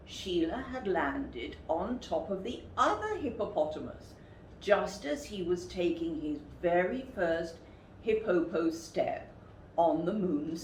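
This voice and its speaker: A woman reads a children's story at an unhurried pace, with widely varied, dramatic intonation. The room adds noticeable echo to the recording. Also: fairly low pitch, a little mellow. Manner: deliberate, dramatic emphasis. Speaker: storyteller, teacher. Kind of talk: expressive picture-book reading for children. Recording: roomy echo, faint background noise.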